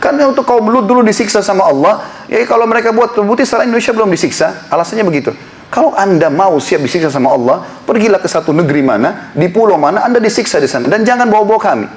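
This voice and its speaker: A man preaching an impassioned sermon to a congregation in a prayer hall, building to emphatic appeals. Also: high-pitched. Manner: impassioned, rising to emphatic exhortation. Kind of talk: Islamic sermon lecture.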